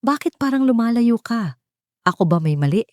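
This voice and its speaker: A woman's voice: on the high side, sing-song, moderate pace, a little mellow, no echo, clean studio sound, voice pushed up. A woman voicing an anxious, longing wife and mother with a pleading, self-doubting manner in a narrated drama. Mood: hurt, doubting.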